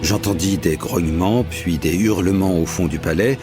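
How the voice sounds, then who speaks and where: An actor playing a proud, methodical Roman prefect narrating his investigation in measured, literary French. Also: low-pitched, over music, natural voice. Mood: wry recounting. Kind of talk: scripted first-person drama narration.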